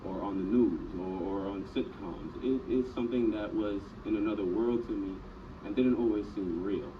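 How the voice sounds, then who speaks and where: A reflective man speaks in a fairly low voice, stumbling over his words here and there. He sounds distant from the mic, dull and noisy. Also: normal speed. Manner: reflective, with repeated stammers. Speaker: interviewee. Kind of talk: documentary interview.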